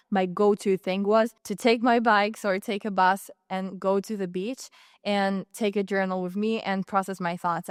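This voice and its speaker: Israeli-accented woman with a smooth voice. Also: mid-range voice, dry recording, clean studio sound. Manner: rambling and earnest, many restarts and fillers. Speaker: podcast host. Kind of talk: casual solo podcast talk.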